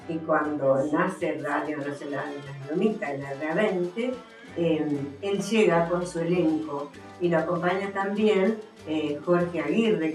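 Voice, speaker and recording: Echoey, lower-pitched Argentine woman presenting. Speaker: author presenting her books. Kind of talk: book presentation, explanatory talk with some reading. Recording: echoey, somewhat distant from the mic.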